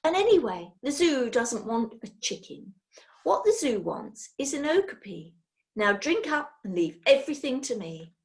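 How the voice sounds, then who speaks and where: A severe, bossy witch gives commands in a high and emphatic voice, as a woman puts her on. She is an impatient, self-important storybook figure who expects to be obeyed. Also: sing-song, moderate pace.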